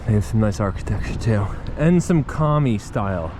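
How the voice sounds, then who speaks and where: A man's voice: fairly low pitch, animated, normal speed. A man chatting casually as a travel vlogger while riding a bike through a city park, opinionated and joking as he goes. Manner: chatty, opinionated, jokey asides. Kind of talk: casual travel vlog narration. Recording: outdoor street and traffic noise.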